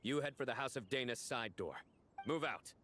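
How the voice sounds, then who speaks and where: A man's voice: medium pitch, animated, moderate pace, slight background noise, close to an everyday voice. An actor voicing a stern, righteous male enforcer in a video game, speaking with terse authority. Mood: commanding, grave. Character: stern commanding male enforcer leading an assault, grave and righteous. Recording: loud music over the voice. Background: loud game music, battle smashes.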